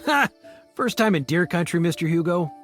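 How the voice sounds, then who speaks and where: A man playing an easygoing, gently teasing small-town police officer in a video game, with a casual, dry delivery. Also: sits high, animated, moderate pace, soft background music. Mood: amused, dismissive. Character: easygoing male small-town cop, mildly teasing and dismissive.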